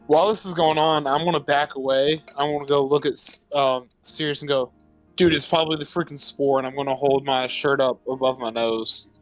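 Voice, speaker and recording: A man's voice: mid-range voice, fairly even intonation, brisk, no echo, soft background music, low-quality recording. A man playing a tabletop game, casually stating his character's actions and speaking its lines.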